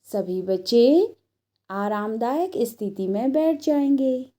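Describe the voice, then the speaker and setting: A woman teacher in a scripted storytelling lesson for children, speaking in a deliberate, instructional manner and addressing the children directly. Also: on the high side, expressive, dark tone.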